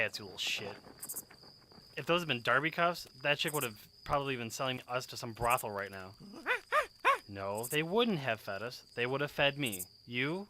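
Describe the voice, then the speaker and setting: A man's voice: mid-range voice, sharp tone, slight background noise. A man acting in an audio drama as a wisecracking, stubborn young survivor who talks casually and sarcastically. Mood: sarcastic.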